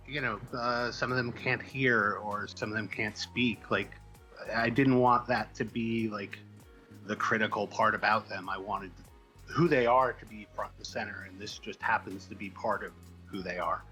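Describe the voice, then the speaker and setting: Low, bright-voiced man in casual interview. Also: normal speed, faint music behind, rough recording. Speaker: comic book writer, guest.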